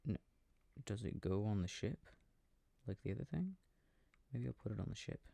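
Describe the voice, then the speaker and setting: A man playing an affectionate, easily confused boyfriend in a soft sleep-aid roleplay, muttering casually and hesitantly to himself over a video game, close to the microphone. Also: lowish voice, expressive, moderate pace, creaky, no room sound. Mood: puzzled, absorbed. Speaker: audio roleplay performer. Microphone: close microphone, dry. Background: controller clicking sounds.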